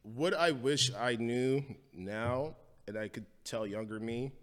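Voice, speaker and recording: A man's voice: medium pitch, takes it slowly, in a large hall. A young man from Guyana, a guest in a casual interview, talking bluntly with lots of profanity and street slang. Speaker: guest, wildlife photographer.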